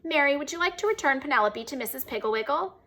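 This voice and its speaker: A woman voicing a patient mother who speaks gently but firmly in a storybook reading. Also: high-pitched, expressive, fast talker, pitched above the performer's own voice. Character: patient young mother, polite but firm.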